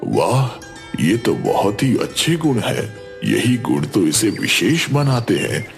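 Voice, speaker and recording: A man playing a calm, kindly holy guru with a reassuring manner in a cartoon. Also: fairly low pitch, slow pace, gravelly, soft background music, exaggerated voice. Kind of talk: Hindi cartoon dialogue.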